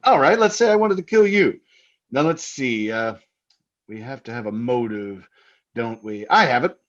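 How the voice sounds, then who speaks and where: Man playing breezy, menacing husband, swooping pitch. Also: expressive, normal speed, fairly bright tone, lo-fi sound, natural voice. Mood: taunting. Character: jovially menacing husband, keen hunter, teasing with murder talk. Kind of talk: old-time radio drama acting.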